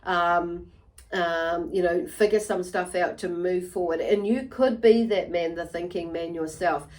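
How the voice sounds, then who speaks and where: A woman giving an unscripted tarot reading to viewers, halting and meandering, often repeating and rephrasing herself as she goes. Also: lowish voice, fairly even intonation, a little mellow. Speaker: tarot reader and channel host.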